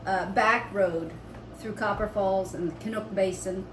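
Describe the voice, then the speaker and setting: A woman's voice: medium pitch, unhurried. A middle-aged woman chatting casually as a homestead vlogger, in a conversational, explaining way. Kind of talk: casual chat. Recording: roomy echo with steady background noise.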